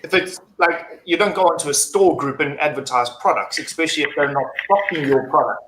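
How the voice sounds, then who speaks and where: A man's voice: on the high side, fairly quick. A man with an English South African accent, chatting loosely as a guest on a live stream, rambling and swearing casually. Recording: roomy mic with faint noise.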